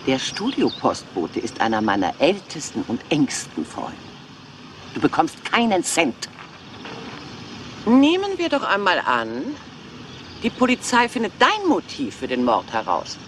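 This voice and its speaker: A woman's voice: sits low, a little slow, slight background noise, exaggerated voice. A woman playing an aging Hollywood film diva, cool, calculating and taunting, in a dubbed crime series. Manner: cool, taunting, lively intonation. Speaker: film star.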